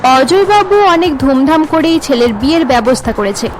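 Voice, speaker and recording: High-pitched woman narrating a cartoon story. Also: moderate pace, soft background music.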